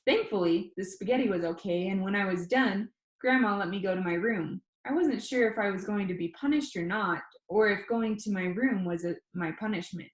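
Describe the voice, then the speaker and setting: A woman reading a children's novel aloud like a teacher, in an informal, expressive way. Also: medium pitch, moderate pace, hardly any pauses. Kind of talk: storybook narration read aloud. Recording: echoey room.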